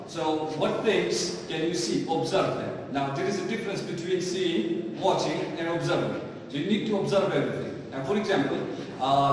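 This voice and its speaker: Male online English tutor, Pakistani accent, slightly high voice, didactic question-and-answer delivery, recorded in a very reverberant room. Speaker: English teacher. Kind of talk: live online lesson to viewers. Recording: very echoey hall, distant mic, faint noise.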